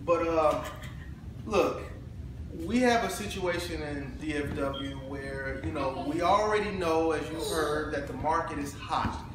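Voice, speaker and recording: A man, co-hosting a casual chat about home buying as a realtor, talking in an animated way with playful banter and repeated phrases. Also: fairly high pitch, measured pace. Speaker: realtor co-host. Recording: echoey room from far off, noisy.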